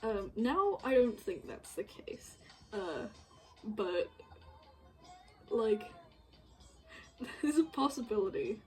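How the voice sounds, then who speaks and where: A woman with a fairly high, animated voice chats casually while she works. She rambles in a giggly, self-deprecating way, with music playing faintly behind her. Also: takes it slowly, long pauses, rough recording. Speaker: streamer making visual novel artwork. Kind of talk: casual chat while working.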